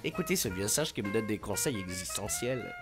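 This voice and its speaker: A man puts on a small, fussy cartoon sidekick, chattering with sarcastic exasperation. The pitch leaps all over the place, with faint music behind. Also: huge pitch swings, fairly quick. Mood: sarcastic, grudging.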